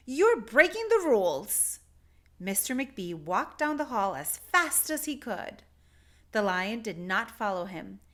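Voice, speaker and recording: A woman narrating a picture book aloud for children, with deliberate, expressive phrasing. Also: mid-range voice. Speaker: children's story reader.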